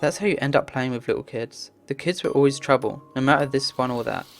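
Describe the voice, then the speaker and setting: A woman's voice: rumbling low, fast talker, gravelly. A woman narrating a visual novel as a read-aloud story, voicing the dialogue herself. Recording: music behind the voice. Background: music.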